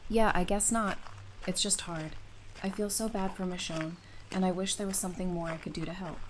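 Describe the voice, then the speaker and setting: A woman playing a playful, sassy, warm-hearted doctor in an audio drama, with an expressive, teasing delivery. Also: fairly low pitch, a little fast, noisy background, close to an everyday voice. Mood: guilty, subdued. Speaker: voice actor in a fan audio drama. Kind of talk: conversational audio drama acting.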